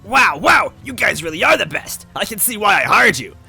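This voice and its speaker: A storybook oaf, a stout bearded man with a gruff, raised, bright voice. He is dopey and childlike, bursting with loud, friendly excitement and swooping eagerly through his words. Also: sits high, normal speed, soft background music, voice pushed up, exaggerated voice. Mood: overjoyed. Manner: loud, excitable, cheerily earnest.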